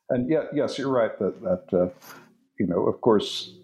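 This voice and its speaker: An older man, an author being interviewed, answering thoughtfully with frequent pauses and fillers. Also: medium pitch, very noisy. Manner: thoughtful, hesitant with many fillers. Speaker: author and musicologist guest.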